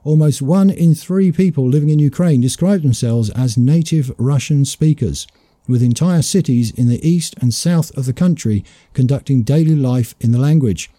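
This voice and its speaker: A man hosting a talk show, reading a news report aloud in a measured way before commenting. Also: medium pitch, mellow, no room sound. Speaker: host.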